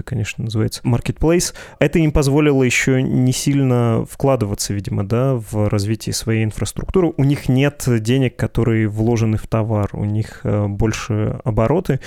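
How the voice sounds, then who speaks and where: A man's voice: mid-range voice, creaky, mellow, dry recording, studio quality. A man hosting a conversational interview, musing wordily and analytically as he sums up and asks questions. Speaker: interviewer, host.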